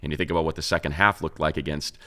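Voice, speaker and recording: Deep-voiced American man talking sports. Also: fairly even intonation, racing, fairly bright tone. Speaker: sports show co-host and radio play-by-play announcer. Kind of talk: casual sports talk and game analysis.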